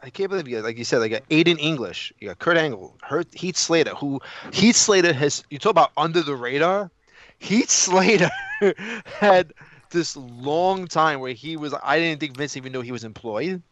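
A man's voice: a little high, lively intonation, normal speed, a bit bright. A man in casual, opinionated podcast discussion, emphatic and repetitive, often throwing out rhetorical questions. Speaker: podcast co-host.

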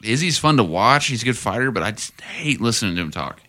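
A man's voice: medium pitch, big pitch swings, fast talker, pushed and bright. A man co-hosting a podcast, chatting about fights in a laid-back, mumbly, profane way. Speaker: podcast co-host. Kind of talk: casual chat about fights.

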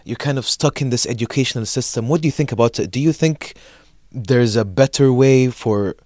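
A young man from Saudi Arabia co-hosting a podcast, asking casual questions in a hesitant, halting way with many fillers. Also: mid-range voice, darkish tone, dry recording, studio quality. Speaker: podcast co-host.

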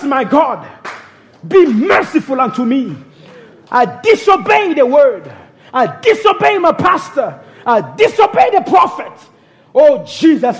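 A young man leads a fervent prayer with an unusually high voice that rises and falls dramatically. He speaks slowly, drawing out his pleas. He breaks his phrases with repeated cries to God. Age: young adult. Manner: fervent, pleading, crying out "oh God" between phrases. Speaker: minister.

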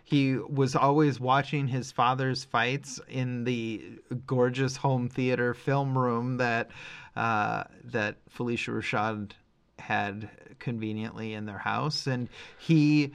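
A man's voice: mid-range voice, steady tone, takes it slowly, gravelly, clean tone. A man co-hosting a podcast, discussing film casually, making his points emphatically and explaining as he goes. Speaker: podcast co-host.

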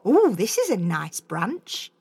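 A woman voicing a kind, motherly spruce tree who speaks in a gentle, coaxing way. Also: medium pitch, huge pitch swings, slow, breathy edge, full character voice. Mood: caring. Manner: warm coaxing.

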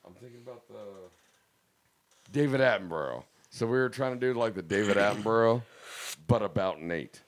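A man hosting a casual studio podcast, with loose, profane, laughing banter and a voice going slightly raspy. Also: lowish voice, animated, moderate pace. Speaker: podcast host.

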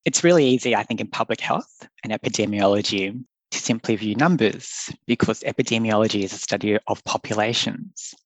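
A man's voice: on the low side, brisk, few pauses, no echo, low-quality recording. A young man, a public health doctor, speaking earnestly and thoughtfully in a podcast interview, laughing now and then. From Australia. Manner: thoughtful, earnest, laughs often, loose phrasing.

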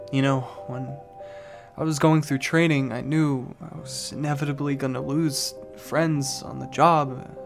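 A man playing a young, downcast, earnest rookie cop in an audio drama, halting and unsure of himself. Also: mid-range voice, fairly even intonation, gravelly, music in the background. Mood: downcast, grieving. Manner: halting, unsure, soft-hearted.

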